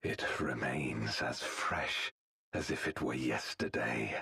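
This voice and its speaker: An actor playing a menacing ancient male villain in a video game, speaking slowly and gravely. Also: pushed and bright, full character voice. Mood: brooding. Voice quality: deep gravelly. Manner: slow, grave delivery.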